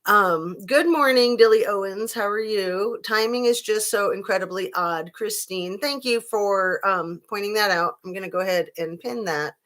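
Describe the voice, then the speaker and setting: A woman in her fifties with a slightly raspy voice, hosting a casual live-chat commentary stream and greeting viewers between her points, with many fillers. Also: mid-range voice, moderate pace, few pauses, a bit bright. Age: 50s. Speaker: livestream host.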